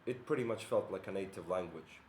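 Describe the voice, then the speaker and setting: A man's voice: sits low, darkish tone, slight background noise. Earnest man in an echoey room. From Bosnia and Herzegovina. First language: Bosnian. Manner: earnest, measured, many pauses and "uh" fillers. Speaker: video commentator. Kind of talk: monologue to camera.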